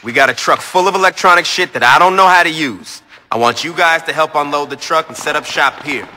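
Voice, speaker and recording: A man's voice: a little high, fairly quick, pushed and bright. An actor playing a brash, impatient male gang boss in a video game, blunt, sardonic and foul-mouthed. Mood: commanding. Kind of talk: video game cutscene acting.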